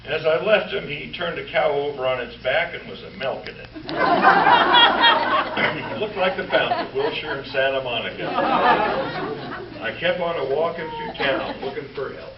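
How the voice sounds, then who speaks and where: A roomy, noisy stage recording of a man playing an earnest Western lawman in an old radio comedy. His delivery is deliberate and dramatic, swinging widely in pitch as he narrates his troubles and turns exasperated. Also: expressive, distant mic, highs cut off, a loud crowd. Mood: dramatic narration. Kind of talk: 1940s radio comedy acting.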